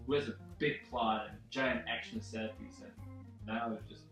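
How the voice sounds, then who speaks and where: A man's voice: mid-range voice, steady tone, moderate pace, a bit bright, recorded from a distance. A man chatting casually about films as a panelist, joking and swearing freely. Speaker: panelist on a film review. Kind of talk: casual chat, opinionated film talk. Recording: echoey room, off mic, music behind.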